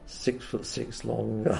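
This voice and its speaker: An older man with a deeply gravelly, croaky voice reminisces slowly and haltingly, filling pauses with uhs and ums. His tone is dark and mellow, with a faint hiss of background noise. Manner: hesitant, full of uhs and ums. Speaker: interviewee. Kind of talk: oral history reminiscence.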